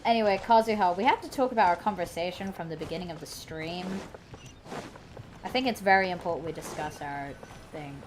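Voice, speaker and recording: A young woman streaming a game, chatting casually and reacting loudly. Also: lowish voice, a little fast. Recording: noisy game stream audio.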